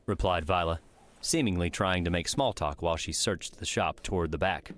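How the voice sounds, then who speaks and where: A man's voice: deep voice, moderate pace, a bit bright, slight background noise. A man reading scripted story narration for an audiobook.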